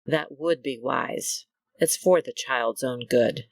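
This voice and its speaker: A woman's voice: a bass voice, slow pace, gravelly, heavily acted. A woman playing a dutiful Amazon doctor in an old-style radio serial, formal and matter-of-fact. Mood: concerned. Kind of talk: 1940s radio serial acting.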